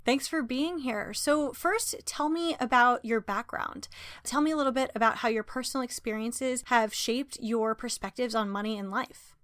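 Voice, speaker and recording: Female podcast host, higher voice, measured; dry close sound. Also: a bit bright, no echo. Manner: measured, prepared phrasing. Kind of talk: scripted intro and interview questions.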